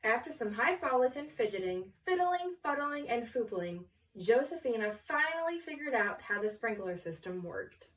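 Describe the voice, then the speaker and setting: A woman reading a children's story aloud with expressive, deliberate phrasing, pausing to question her listener. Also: a little high, distant mic, poor audio.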